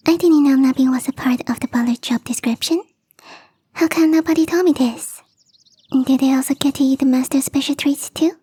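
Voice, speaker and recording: High-pitched, whispery teasing catgirl played by a woman. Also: warm tone, dry recording, natural voice. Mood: teasing, smug. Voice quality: breathy, whispery. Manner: teasing, coy, unhurried. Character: young female catgirl gardener, teasing, playfully smug. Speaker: voice actress. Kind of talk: ASMR roleplay, whispery soft speech.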